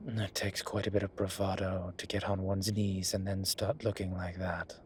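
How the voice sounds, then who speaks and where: A man playing a flirtatious, cheeky incubus demon in an audio roleplay, talking in a teasing, suggestive way with drawn-out pauses. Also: low voice, flat delivery, a little hiss or hum, close to an everyday voice. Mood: smug flirting. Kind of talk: audio roleplay acting, one-sided conversation.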